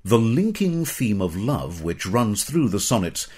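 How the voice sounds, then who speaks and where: A middle-aged British man reading scripted educational narration in a measured, formal, literary manner. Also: on the low side, big pitch swings, darkish tone, slightly rough.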